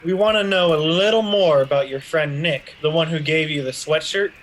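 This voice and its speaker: A man's voice: a little high, normal speed, slight background noise, close to an everyday voice, low-quality recording. A man playing an earnest police partner in an audio drama, asking questions plainly. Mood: inquiring.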